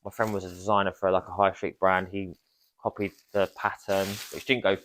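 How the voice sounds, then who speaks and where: A man's voice: low voice, level tone, brisk, a bit bright, slight background noise. Brief: man, rambling casual talker. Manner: rambling, with many likes and restarts. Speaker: interview guest. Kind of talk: casual chat.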